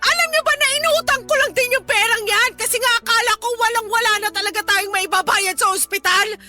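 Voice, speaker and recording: A woman's voice: very high voice, brisk, over music. A woman playing a hurt, resentful grown daughter and breadwinner in a radio drama, emotional and reproachful, with a Filipino accent. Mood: indignant.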